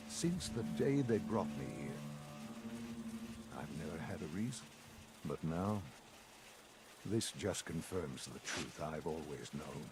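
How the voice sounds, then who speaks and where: A man playing an elderly, coldly cerebral leader in a video game, speaking formally and deliberately with weighty pauses. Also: sits low, noisy background, exaggerated voice. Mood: grave, disdainful. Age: older. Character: elderly, measured, coldly cerebral male leader of secretive institute. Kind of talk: dramatic video game dialogue.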